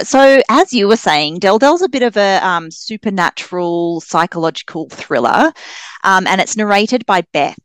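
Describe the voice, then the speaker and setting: A woman's voice: lowish voice, no echo. A woman speaking as an author guest on a podcast, chatting casually and effusively. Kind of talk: casual, enthusiastic interview chat.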